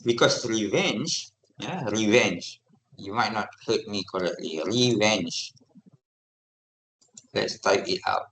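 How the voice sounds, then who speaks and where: A middle-aged man with a Malaysian English accent lecturing to a university class, lively and often checking for replies from students. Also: lowish voice, slow pace, long pauses, rough recording.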